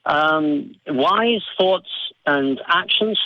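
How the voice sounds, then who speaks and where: A man's voice: fairly high pitch, animated, very slow, dry recording. A Scottish man calling in to a talk show, chatty and hesitant, with lots of fillers. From Scotland. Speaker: caller. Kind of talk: phone-in call. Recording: dull phone-line audio.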